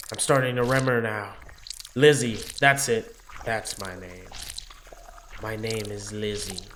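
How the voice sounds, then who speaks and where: Man acting out a brooding amnesiac young woman, noisy recording. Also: lowish voice. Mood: eager, confiding. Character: amnesiac young woman, anguished, macabre, chatty, easily bewildered. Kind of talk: dramatic first-person visual novel narration.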